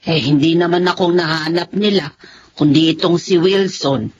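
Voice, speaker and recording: An actress playing a weary, tender older sister in a Filipino radio drama, speaking plaintively with a Filipino accent. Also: female voice, on the low side, a little mellow. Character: long-lost older sister, weary, tender, once a runaway. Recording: muffled, noisy radio broadcast.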